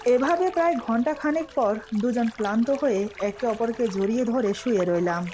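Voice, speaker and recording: A woman narrates a scripted love story in a fairly high voice. She works as a storyteller, reading prose and dialogue. A faint trickling noise like water runs behind her. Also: mellow.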